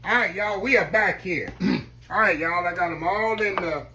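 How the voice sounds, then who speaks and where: Guy cooking in his kitchen, kinda hoarse, a bit higher voice, keeps saying y'all. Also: normal speed, sharp tone, a little hiss or hum. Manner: chatty, keeps addressing viewers as y'all. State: slightly hoarse. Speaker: home cook. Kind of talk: casual cooking demonstration. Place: home kitchen.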